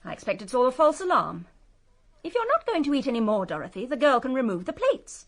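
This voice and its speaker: An actress playing a bossy upper-class English lady of the house, brisk and commanding, in a period radio drama. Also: sits high, sing-song, exaggerated voice. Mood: brisk, impatient.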